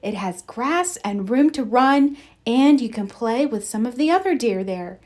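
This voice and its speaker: A protective mother deer from a storybook, read by a woman, in a fairly high, slow voice. She is caring and explains things with care. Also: lively intonation, a little mellow. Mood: gentle. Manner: caring, instructive.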